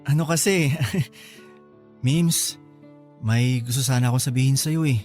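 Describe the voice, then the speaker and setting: Actor as a bashful young man, mid-pitched. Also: a little slow, darkish tone, soft background music, close to an everyday voice. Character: bashful, gentle young male office worker confessing a crush. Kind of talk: Tagalog radio drama acting.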